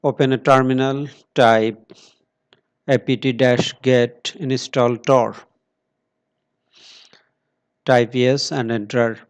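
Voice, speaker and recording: Slow, steady man walking through a tutorial. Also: medium pitch, clean tone. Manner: slow, step-by-step instructions with pauses and fillers. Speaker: tutorial presenter. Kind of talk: screen-recorded tech tutorial.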